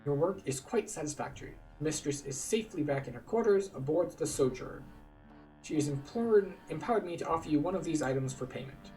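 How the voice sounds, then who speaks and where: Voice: medium pitch, lively intonation, a little fast, warm tone, recorded from a distance, soft background music, pitched above the performer's own voice, natural voice, low-quality recording. A man voicing a formal servant who offers payment in a game. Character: formal servant paying the heroes.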